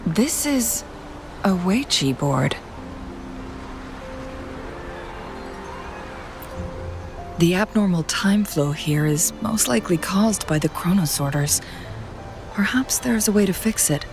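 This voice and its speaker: An actress playing a poised, shrewd young female strategist in a video game, composed, deliberate and formal in her speech. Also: on the low side, animated, over music. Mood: pensive.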